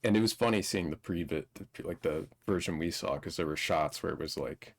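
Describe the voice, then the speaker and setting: A man's voice: deep voice, a little fast, vocal fry. A man co-hosting a casual film discussion on a live stream, speaking bluntly and swearing freely. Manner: blunt, profane. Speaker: co-host reviewing a film. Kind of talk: casual, unscripted movie discussion.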